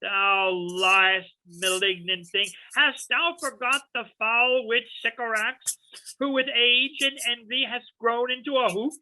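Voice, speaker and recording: A woman's voice: a little high, fairly even intonation, pushed and bright, full character voice, low-quality recording. A woman playing a commanding, imperious sorcerer in a Shakespeare reading, declaiming theatrically to a servant spirit. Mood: scolding, imperious. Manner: slow, stern, theatrical declamation.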